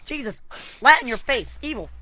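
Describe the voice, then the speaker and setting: A woman player chattering excitably in an online game voice chat. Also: high-pitched, moderate pace. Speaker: player in a group video game.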